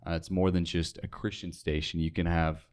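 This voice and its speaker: Young american guy with a low deep voice, just chatting as an interview guest. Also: little pitch movement, normal speed, a little mellow, clean tone. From United States, North Dakota. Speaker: interview guest, radio sales associate. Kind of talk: casual interview chat.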